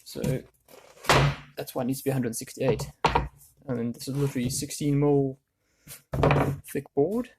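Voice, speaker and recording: A man with a gravelly voice explaining a woodworking job casually, thinking aloud as he works. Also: medium pitch, fairly even intonation, a little slow, long pauses, heavy background noise. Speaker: DIY woodworking video host. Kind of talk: casual hands-on tutorial talk.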